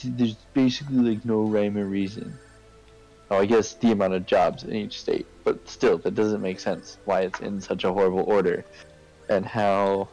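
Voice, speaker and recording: A man chatting casually as he streams a game, halting and often repeating his words. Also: on the low side, vocal fry, darkish tone, rough recording. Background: video game music.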